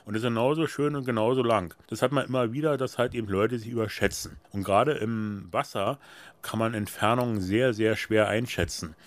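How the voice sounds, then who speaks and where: A man's voice: lowish voice, a little fast, dry recording. An older man explaining things in an interview as a veteran lifeguard chief. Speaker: head of lifeguard operations.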